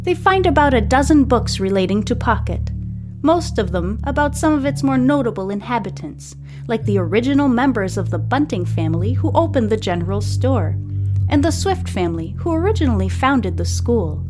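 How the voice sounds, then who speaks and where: A woman with a fairly high voice narrates a serial story as its host. She reads scripted fiction like a storybook, and music plays clearly behind her. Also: sing-song, normal speed.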